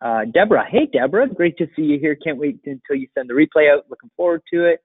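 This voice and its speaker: A man's voice: fairly high pitch, lively intonation, quick pace, slightly rough, dry recording. Middle-aged man hosting webinar, dull lo-fi sound. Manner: informal, conversational, explanatory. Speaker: webinar host and marketing coach. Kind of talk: casual webinar question-and-answer. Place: indoor room, seated at a computer. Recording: dull, dry lo-fi webinar audio.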